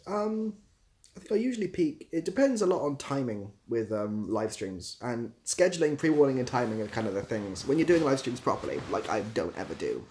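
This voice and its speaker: A man's voice: medium pitch, very animated, fairly quick, darkish tone. A young man with a southwest English accent, hosting a casual livestream in a rambling, self-deprecating way. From southwest England, near Bath. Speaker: livestream host and YouTuber.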